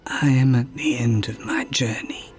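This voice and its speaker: A man voicing a weak, gentle dying fisherman in a soft, breathy whisper for a storybook. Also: medium pitch, fairly even intonation, faint music behind, pitched below the performer's own voice, lightly acted. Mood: tender, weak. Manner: soft, faint, slow.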